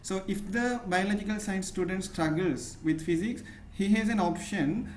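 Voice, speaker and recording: A man's voice: sits high, normal speed, faint music behind. A man with a Sri Lankan accent tutoring chemistry one-on-one, patient and often checking in with "right?". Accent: Sri Lankan English. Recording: echoey room, faint noise.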